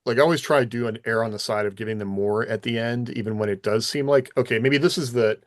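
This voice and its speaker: A man's voice: medium pitch, fast, no room sound, clean studio sound. A man co-hosting a podcast as a writer, discussing craft casually in a tentative, explanatory way.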